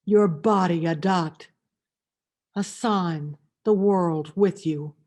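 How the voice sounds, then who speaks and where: A woman reads as a tender, grieving mother in a poetic meditation. The voice is slightly low and extremely slow, solemn and reverent throughout. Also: a little mellow. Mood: sorrowful. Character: grieving, tender mother addressing her suffering son.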